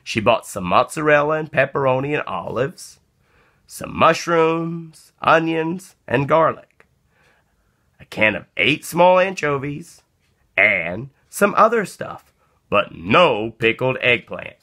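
Man, children's read-aloud narration, slow deliberate phrasing, very animated intonation, expressive. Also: fairly high pitch. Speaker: storybook reader.

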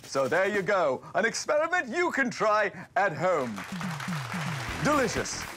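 A man playing a jolly, excitable TV chef, presenting to children in a playful, theatrical, exclamatory way. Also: sits high, unhurried, background noise, heavily acted. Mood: cheerful. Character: excitable, jolly male TV cooking-show chef. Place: kitchen set, indoors.

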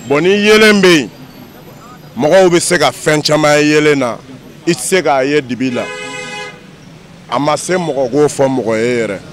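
A man's voice: fairly high pitch, expressive, measured pace, pauses often, faint music behind. A young man with a slightly raised voice answers a street reporter's question outdoors. He speaks earnestly into a handheld mic that is held out to him. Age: young adult. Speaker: street interviewee. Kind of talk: vox pop answer. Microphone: handheld mic held by interviewer.

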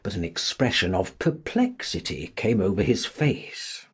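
A man's voice: on the low side, big pitch swings, measured pace, slightly rough. A man narrating a novel as a scripted audiobook.

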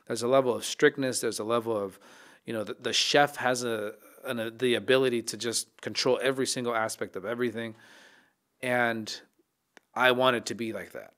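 A man in his thirties, a chef and podcast guest, talking in a reflective, casual way, often restarting his sentences, into a studio mic in a dark podcast studio. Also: mid-range voice, fairly even intonation, normal speed. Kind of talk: casual interview talk. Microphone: studio mic on boom arm.

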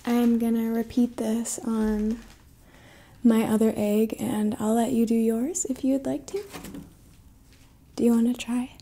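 Very mellow, hushed young ASMR woman. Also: a little high, level tone, measured pace, long pauses. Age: young adult. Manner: gentle, hushed, slipping into whispers. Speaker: ASMR roleplay host. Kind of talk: ASMR, soft-spoken roleplay to the listener. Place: small dim indoor room at a table.

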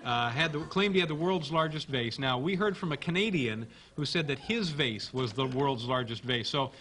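A man's voice: mid-range voice, animated, moderate pace, a bit bright, a little hiss or hum. A man in his thirties hosting a late-night comedy show, joking in a dry, mock-serious way at his desk in a TV studio. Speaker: talk show host. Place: TV studio stage before an audience. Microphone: desk microphone.

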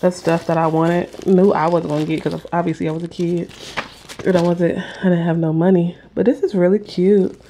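A woman's voice: fairly low pitch, little pitch movement, normal speed, dark tone, slight background noise. Chatty young woman with a cough. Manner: chatty, rambling, talks straight to the viewers. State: coughing with a sore throat. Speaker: vlogger. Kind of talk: casual haul vlog. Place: small room at home, indoors. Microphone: handheld phone camera at arm's length.

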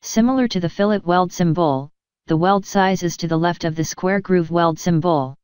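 A woman instructor narrates a scripted technical lesson in a calm, even, measured manner. Her voice is slightly low and very clear and clean. The recording is dry and close, with no room sound. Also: dry recording. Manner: measured, even explanatory delivery.

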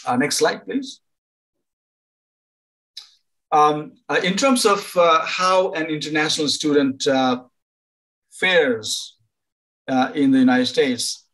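An older man from Nepal, a professor advising international students over a video call, pausing often to find words. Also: on the high side, fairly bright tone, low-quality recording. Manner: halting, many fillers and restarts. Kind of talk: informal online presentation.

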